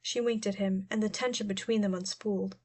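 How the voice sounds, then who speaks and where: A woman's voice: mid-range voice, fairly even intonation, a little fast, darkish tone, slightly rough. A woman narrating a story as an audiobook reader, in scripted prose.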